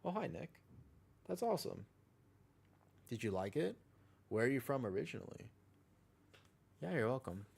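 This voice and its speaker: A man's voice: medium pitch, very animated, normal speed, vocal fry, pauses often, a little mellow, slightly rough. A man chatting casually and slangily as he livestreams an online game, talking to his viewers and teammates in a laid-back way. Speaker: live streamer playing an online game. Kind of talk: casual livestream chat while gaming.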